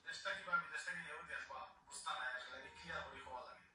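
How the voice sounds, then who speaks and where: A man from Bangladesh, rapidly explaining something to viewers in a clip. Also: fairly high pitch, pushed and bright, faint music behind. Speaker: vlogger in a clip being reacted to. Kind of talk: casual address to viewers. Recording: echoey, distant playback of a clip.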